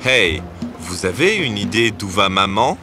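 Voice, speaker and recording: A man's voice: lowish voice, very animated, a little slow. A man playing a clever, brave adolescent boy hero in a fairy-tale cartoon, earnest in his delivery. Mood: curious. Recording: music behind the voice.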